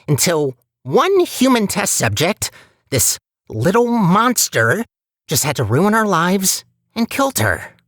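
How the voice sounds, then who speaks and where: Man voicing an animated, anxious robot core guide. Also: high-pitched, slow pace, no room sound, studio quality, exaggerated voice. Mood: wistful, bitter. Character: chatty, anxious male robot personality core running test chambers. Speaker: video game character, guide to the player. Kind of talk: animated video game voice acting.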